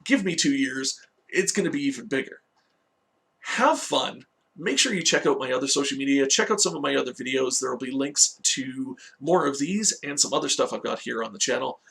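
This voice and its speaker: Give the voice of a man hosting a casual online review. His tone is bright and forceful, with heavy vocal fry running through it. He addresses viewers directly and informally, like a regular presenter. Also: medium pitch, animated, brisk. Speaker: host of a video game movie review series. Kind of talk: casual scripted-outline review, talking to viewers.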